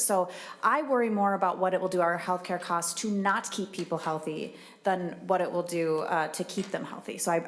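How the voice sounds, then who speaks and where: Lower-voiced woman senator in committee. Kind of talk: legislative committee remarks.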